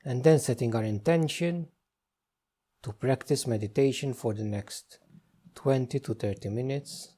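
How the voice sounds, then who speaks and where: Mellow-voiced man guiding a meditation. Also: medium pitch. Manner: gentle, unhurried instruction with frequent pauses. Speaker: meditation guide. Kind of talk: guided meditation.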